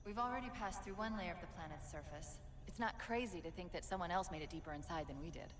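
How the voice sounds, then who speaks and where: A woman playing a quick-witted female AI companion in a game cutscene, brisk and wry with clipped urgency. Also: lowish voice, noisy background, lightly acted. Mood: analytic. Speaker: ship's AI.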